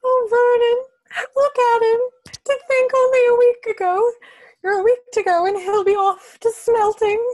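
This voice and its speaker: A woman's voice: very high-pitched, little pitch movement, a little slow, a little mellow. A woman playing a fussy mother who dotes and snaps, in an amateur screenplay table read. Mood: tearful pride. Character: doting, fussy mother and snappish aunt.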